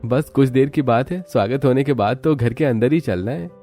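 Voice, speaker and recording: A man's voice: medium pitch, moderate pace, warm tone, faint music behind, voice pushed up, lightly acted. A man voicing a friendly, attentive young man in a cartoon story, eager and coaxing. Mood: cheerful, reassuring.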